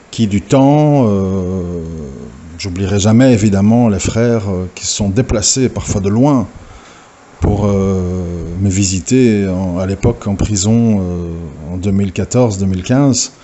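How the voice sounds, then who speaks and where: Man, informal religious lecture, hesitant, many fillers, deep, soft dark tone. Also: a little slow. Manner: hesitant, frequent "euh" and restarts, lecturing. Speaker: religious preacher. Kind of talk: informal recorded audio talk.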